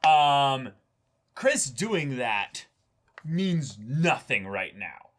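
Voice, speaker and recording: A man co-hosting a casual reaction chat, blunt and expressive, with plenty of swearing. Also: medium pitch, drawn-out words. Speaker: co-host of a reaction show.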